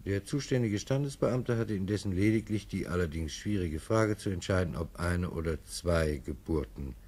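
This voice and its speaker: A man's voice: sits low, animated, moderate pace, a little mellow, slightly rough, exaggerated voice. A man reading interludes in a matter-of-fact, reportlike tone for a radio play. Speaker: narrator of interludes. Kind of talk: scripted radio narration, news-like.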